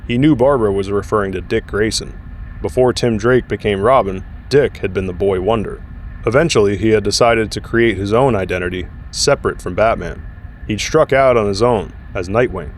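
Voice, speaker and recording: A man with a fairly low voice narrates a dramatic story from a script. Faint city and vehicle ambience runs behind his reading. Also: moderate pace, slight background noise.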